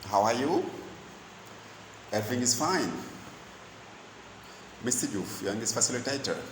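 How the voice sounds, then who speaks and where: A man's voice: medium pitch, lively intonation, background noise. Senegalese man teaching English, echoey room. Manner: slow, deliberate delivery, switching between English, Wolof and French. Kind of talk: bilingual lesson in English and Wolof.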